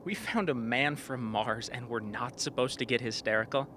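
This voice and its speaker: A man's voice: medium pitch, normal speed, bright, forceful tone, close to an everyday voice. A man playing a brash, sarcastic crewman at an Antarctic base in a radio drama. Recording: clear background noise.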